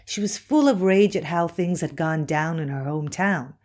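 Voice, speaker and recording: An actress as a loyal best friend being interviewed in a true-crime podcast drama. Her voice sits somewhat low, and she speaks earnestly and firmly about someone she stands by. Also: moderate pace, a little mellow, close to an everyday voice. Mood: wistful.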